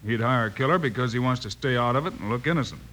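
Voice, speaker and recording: A man acts a confident Western marshal with an American accent and a bright, forceful voice at a middling pitch. He is courteous but clipped and decisive, in classic radio drama style. There is light noise on the vintage recording. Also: expressive, very fast, close to an everyday voice, rough recording. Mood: thoughtful. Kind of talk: old-time radio Western acting.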